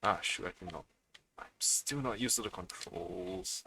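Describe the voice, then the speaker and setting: A man's voice: on the low side, very animated, measured pace, gravelly, sharp tone, a little hiss or hum. A man live-streaming a video game with casual, unscripted commentary, muttering to himself and swearing freely.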